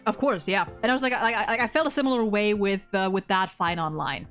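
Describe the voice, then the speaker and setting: A woman's voice: medium pitch, brisk, a bit bright, faint music behind. A woman in a casual discussion of a video game, talking emphatically and swearing freely. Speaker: host.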